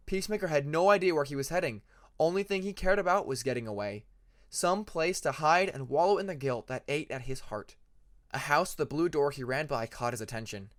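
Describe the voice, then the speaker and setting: A man narrating a fantasy story like an audiobook, in an expressive, dramatic manner. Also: a little high. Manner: expressive, acts out dramatic passages. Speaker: fan fiction narrator.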